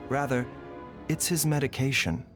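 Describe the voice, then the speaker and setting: An actor playing a calm, courteous doctor of herbal medicine in a game, speaking in a measured, formal, soft-spoken way. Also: male voice, medium pitch, music in the background, lightly acted. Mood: grave. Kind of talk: game voice acting.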